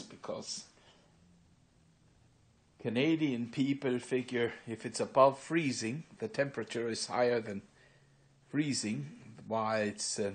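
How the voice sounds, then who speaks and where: A man of sixty with a Pennsylvania Dutch accent, vlogging in a rambling, unhurried way with many filler pauses, recorded on a handheld phone in his room. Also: medium pitch. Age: 60s. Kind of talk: casual walk-through monologue. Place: his own room indoors. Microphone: phone camera held by speaker.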